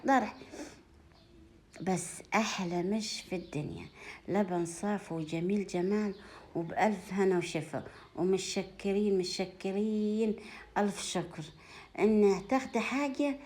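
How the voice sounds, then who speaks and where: A woman's voice: medium pitch, darkish tone. A woman with a Sa'idi accent from Upper Egypt speaks in a mid-range voice. She chats informally to viewers of her home vlog. Her talk circles back on repeated phrases and is full of blessings and affectionate forms of address. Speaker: home vlogger. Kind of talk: casual chat to viewers, showing food gifts.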